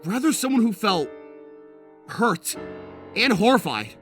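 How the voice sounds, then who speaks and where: A man playing a dramatic, excitable story narrator in an audio drama, theatrical and suspenseful in scripted narration. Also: high-pitched, a little slow, faint music behind, full character voice.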